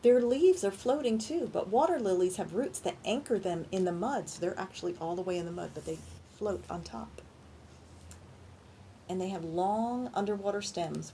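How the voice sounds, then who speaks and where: A woman reading a picture book aloud to young children in an animated storytime style, pausing to ask questions and explain things simply. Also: mid-range voice, a little hiss or hum. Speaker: children's librarian and storytime reader.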